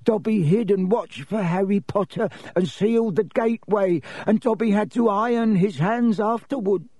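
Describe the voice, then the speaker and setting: A small house elf from a storybook, very high-pitched and husky. The creature is servile and fearful, forever pleading with deference and referring to itself in the third person. The sound is close and dry. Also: male voice, moderate pace, a little mellow, dry recording. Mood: eager, deferential. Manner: speaks of self in third person, obsequious.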